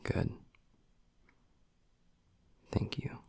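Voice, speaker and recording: A man voicing a gruff but tender young werewolf boyfriend in an intimate audio roleplay, husky and breathy, speaking low and haltingly. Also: a little mellow, no echo. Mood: firm, coaxing. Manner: low, halting, half-whispered delivery.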